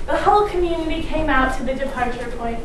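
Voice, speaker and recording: Female stage narrator, high voice, theatrical and emphatic, heard from far off in a reverberant hall. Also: quick pace. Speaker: readers theatre performer. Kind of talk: theatrical ensemble storytelling on stage. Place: large indoor performance space, far mic. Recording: echoey hall from far off, noisy.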